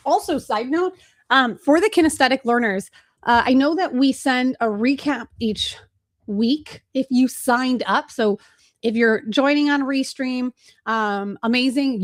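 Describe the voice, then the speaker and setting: Higher-voiced female webinar host from Chicago; fast, direct, energetic, casual with filler words. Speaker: webinar host and agency trainer. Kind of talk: live webinar teaching.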